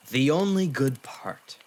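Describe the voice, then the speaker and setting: A man's voice: a little high, darkish tone. A man acting a brash, impatient young half-demon in a fan audio drama, blunt, dense and grumbling.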